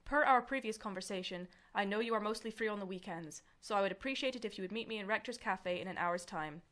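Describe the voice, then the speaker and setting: A woman's voice: medium pitch, quick pace, fairly bright tone, natural voice. A woman playing a cutting, fed-up young student director in an audio drama. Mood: stiff, formal. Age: young adult. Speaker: actor. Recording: very noisy background, vehicle-like ambience.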